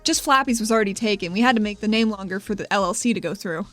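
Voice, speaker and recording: A woman's voice: medium pitch, quick pace, no echo, faint music behind. A woman playing a tabletop game in character, talking in a bubbly, playful and enthusiastic way. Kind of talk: in-character role-play.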